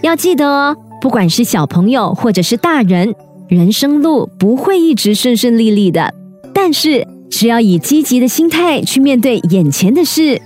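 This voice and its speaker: A woman storyteller with a fairly high, very lively voice addresses young children directly. She narrates expressively as host, over light children's music. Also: normal speed.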